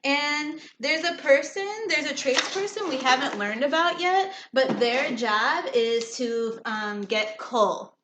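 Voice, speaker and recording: Woman teacher, fairly high voice, instructive read-aloud for children, simple explanations, frequent questions, roomy echo, faint noise. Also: animated, slow pace, few pauses. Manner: instructive, checks understanding often. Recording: echoey room with faint noise.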